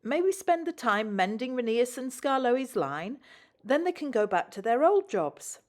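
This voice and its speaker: An eager, polite diesel engine from a storybook, voiced by a woman. He speaks in a raised, lively pitch, earnest and keen to help. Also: unhurried. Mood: earnest, pleading. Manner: earnest, pleading.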